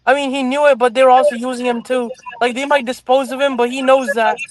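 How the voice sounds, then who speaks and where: A man with a very high voice who keeps an even, flat tone as he argues earnestly on a talk show. His voice sounds dry and close, with no room around it. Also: fast talker, dry recording. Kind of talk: casual talk show commentary.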